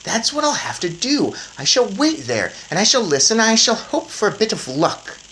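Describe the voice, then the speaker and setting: A woman's voice: on the high side, big pitch swings, normal speed, a bit bright, a little hiss or hum, voice pushed up. A woman voicing a small, plucky boy turned mouse in a storybook, earnest and eager. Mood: determined.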